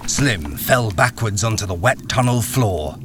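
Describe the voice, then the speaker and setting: A man's voice: fairly low pitch, animated, measured pace, very noisy. An actor playing a desperate mine worker who narrates his escape in a thriller audio drama, intense and dramatic. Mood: grave, suspenseful. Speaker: narrator and lead character. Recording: loud music and effects behind.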